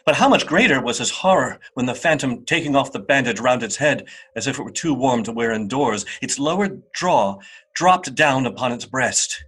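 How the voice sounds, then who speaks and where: A man narrating a classic story for a radio play in a measured, dramatic storytelling manner. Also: mid-range voice, lively intonation, fairly bright tone, husky.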